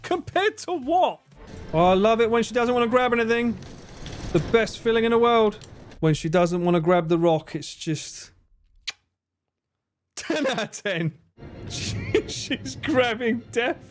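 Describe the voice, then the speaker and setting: A man's voice: very high voice, animated, measured pace, long pauses, soft background music. A man streaming live, chatting casually and crudely, laughing often.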